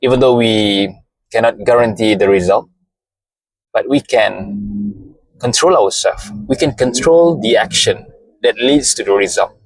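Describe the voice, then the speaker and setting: A man with a Malaysian accent, a native Malay speaker, giving a slow, deliberate motivational talk and often repeating phrases. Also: medium pitch, no room sound, rough recording. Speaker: podcast host.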